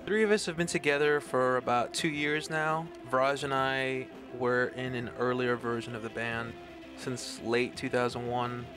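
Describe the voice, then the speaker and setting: Brief: male singer, Spanish first language, hesitant and thoughtful, mid pitch, club with music behind. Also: steady tone, moderate pace. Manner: thoughtful, searching for words with many ums. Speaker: singer and guitarist. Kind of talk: casual interview answers.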